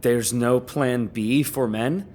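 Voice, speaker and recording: A man's voice: mid-range voice, slow, darkish tone, slight background noise, natural voice. A man playing a distressed, indignant lover who feels trapped, incredulous and accusing, in an audio drama. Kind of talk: naturalistic audio drama acting.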